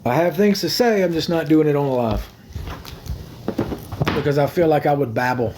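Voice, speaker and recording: A man's voice: on the high side, normal speed, background noise. Rambling man on a livestream. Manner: rambling, repeats himself, thanks viewers for gifts. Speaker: livestream host. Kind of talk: casual livestream chat with viewers.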